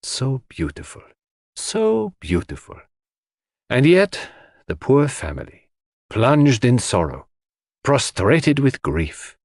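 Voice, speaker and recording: A man's voice: medium pitch, sing-song, moderate pace, no room sound, studio quality, voice pushed up. A man voicing a fussy, shrewd older detective, precise and theatrical in an audiobook. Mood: wistful, courteous. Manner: precise, theatrical, formal phrasing. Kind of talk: audiobook character acting.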